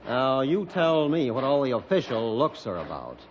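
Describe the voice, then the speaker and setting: A man's voice: mid-range voice, big pitch swings, moderate pace, slight background noise, natural voice. A male actor playing a defensive, hesitant cabin owner with an American accent in a 1960s radio drama. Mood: pleased. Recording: dull vintage radio recording.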